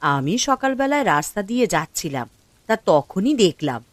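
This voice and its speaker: Woman playing an animated, indignant village woman. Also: lowish voice, moderate pace, dry recording. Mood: gossiping. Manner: animated, complaining. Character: indignant, gossiping village woman, sympathetic to the poor. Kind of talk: Bengali cartoon story acting.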